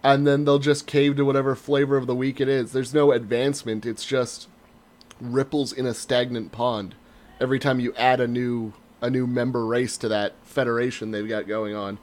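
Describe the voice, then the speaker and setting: A man's voice: medium pitch, flat delivery, normal speed, darkish tone. A man co-hosting a casual podcast, giving his opinions in a blunt, emphatic way. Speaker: podcast co-host.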